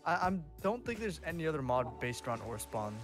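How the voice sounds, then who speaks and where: A young man talks easily while gaming with a friend, relaxed and often laughing. Game music plays clearly behind his voice. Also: on the high side, brisk. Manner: laid-back, laughs often. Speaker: co-player. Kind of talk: casual gaming chat.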